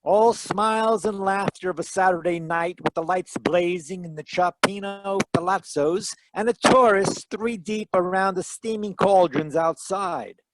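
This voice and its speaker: An actor playing a wry, hardboiled private detective in an old-time radio drama, narrating in dramatic noir fashion. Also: male voice, high-pitched, a little slow, few pauses, poor audio. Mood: hardboiled narration.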